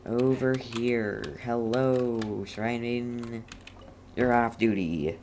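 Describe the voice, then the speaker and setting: Very low-voiced, unhurried woman gamer. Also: steady tone, a little mellow. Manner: playful, mutters reactions to herself. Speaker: game streamer. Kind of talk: casual playthrough commentary. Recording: faint noise, keyboard typing behind. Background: keyboard typing.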